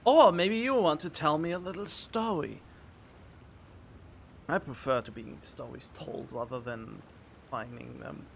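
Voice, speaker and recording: A man giving casual let's play commentary as a game streamer, halting and repeating words as he thinks aloud. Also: fairly high pitch, gravelly, a little hiss or hum.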